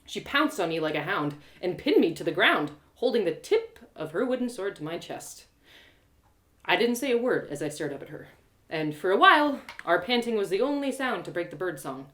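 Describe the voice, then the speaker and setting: Woman reading an adventure story live, first person, super animated, bright voice, dramatic delivery. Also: on the low side, normal speed. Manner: dramatic, expressive. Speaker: storyteller, audio drama performer.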